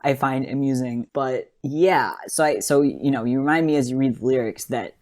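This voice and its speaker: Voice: mid-range voice, normal speed, a little mellow. A podcast co-host talking through ideas in a casual, analytical way, earnest and rambling with lots of "you know" and "like".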